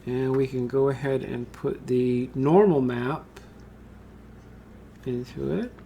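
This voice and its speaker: A man with a noticeably creaky, fry-edged voice and a medium pitch talks through an on-screen tutorial. He is casual and informal, thinking aloud while he works and addressing viewers like buddies. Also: slow, mellow, a little hiss or hum. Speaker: tutorial host. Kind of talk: informal screen-share software tutorial, talking through steps while working.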